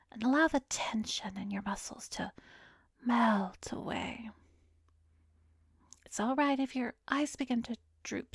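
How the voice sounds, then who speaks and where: A woman playing a calm, coaxing female therapist in a whispered ASMR hypnosis roleplay, soothing and measured, with a soft breathy voice close to the microphone. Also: fairly high pitch, no room sound, exaggerated voice. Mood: soothing, hypnotic. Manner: slow, soothing, measured guiding with pauses. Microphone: close microphone, dry recording.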